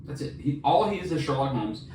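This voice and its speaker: A man's voice: medium pitch, expressive, a little fast, darkish tone. Guy podcast host geeking out casually, slangy and emphatic, echoey room, mic kinda far off. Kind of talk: casual chat about books. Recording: roomy echo, distant mic, faint noise.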